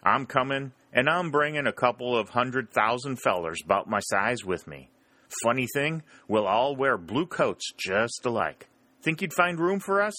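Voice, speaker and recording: A man's voice: mid-range voice, animated, a little slow, pushed and bright, dry recording, voice pushed up. A man voicing an easygoing Northern frontier sergeant who talks in a dry, joking drawl. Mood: wry.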